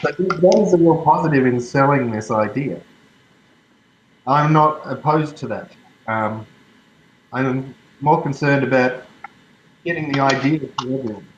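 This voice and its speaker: A man speaks calmly and reasonably in a casual meeting. His voice comes through a roomy echo and dull, muffled audio, with clear noise behind it. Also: medium pitch, measured pace, long pauses. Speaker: group member. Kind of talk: casual group discussion. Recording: echoey, muffled, noisy remote audio.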